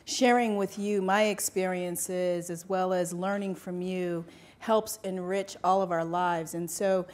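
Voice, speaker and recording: A woman's voice: lowish voice, fairly even intonation, measured pace, a little mellow. Gracious woman panelist from the US. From United States. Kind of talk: panel talk.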